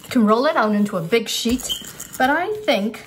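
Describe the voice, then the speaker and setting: An older woman with a fairly high voice gives a casual cooking lesson. She talks through each step off the cuff while her hands are busy. There is clear kitchen noise behind her, with dishes and pans. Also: expressive, normal speed. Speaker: cooking instructor. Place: home-style kitchen, indoors at a counter.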